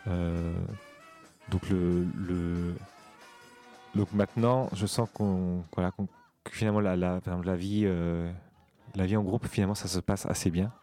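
A young man giving a spontaneous, reflective testimony, searching for his words with halting phrases. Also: low-pitched, fairly even intonation, dark tone, faint music behind. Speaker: theatre school student in an occupation.

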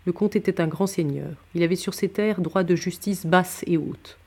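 A mellow-voiced, sombre nobleman musketeer from an adventure novel, acted out by a male reader. He is worldly and hard-drinking, with a bitter, sardonic edge and an abrupt way of talking. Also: mid-range voice, normal speed, husky. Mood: grim, sardonic.

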